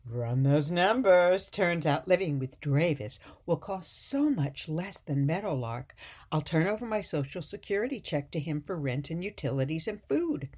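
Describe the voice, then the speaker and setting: A woman playing a sweet, gently stubborn elderly mother in a radio play, coaxing and chiding softly. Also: sits low, expressive, moderate pace. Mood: playful.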